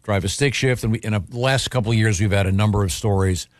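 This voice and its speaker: Guy radio host, kinda low voice, halting jokey banter, really dry studio sound. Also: no room sound. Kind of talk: casual banter and reading listener questions.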